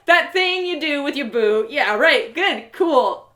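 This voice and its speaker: A woman playing an awkward, excitable young woman who blurts and stammers slangily in an audio drama. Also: sits high, slow, slight background noise, pitched above the performer's own voice, full character voice. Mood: flustered embarrassment. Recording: roomy echo of home live reading.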